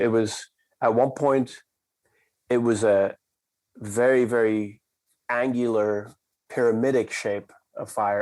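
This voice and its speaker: A man from the Netherlands, a company cofounder, telling a story in a measured, reflective way and slowing down for emphasis. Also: fairly low pitch, a little mellow. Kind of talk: conversational storytelling.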